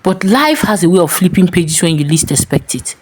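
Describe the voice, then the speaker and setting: A Nigerian woman tells a scripted story in a dramatic style. Her pitch is very lively, and she leaves deliberate pauses for weight. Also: breathy edge.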